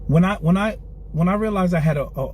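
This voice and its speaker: A man's voice: sits high, fast, darkish tone, slight background noise. A man in his forties talking in a candid interview in a car, earnest and streetwise, often repeating himself. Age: 40s. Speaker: clothing brand founder, guest. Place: inside a car.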